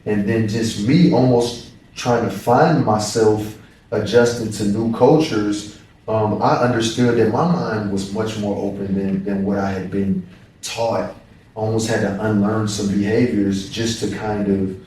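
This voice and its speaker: Low-voiced American man, echoey room. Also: fairly even intonation, unhurried, darkish tone, recorded from a distance, slight background noise. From United States. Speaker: professional basketball player. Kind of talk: casual interview conversation. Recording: echoey room, mic set back.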